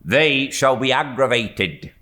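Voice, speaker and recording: A loyal, outspoken servant woman, voiced by a man reading aloud. She talks in a homely, emphatic way, louder and higher than his own voice, with a wide, lively sweep of pitch. Her delivery is slow and deliberate. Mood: fierce, loyal. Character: warm-hearted middle-aged woman servant, blunt, homely.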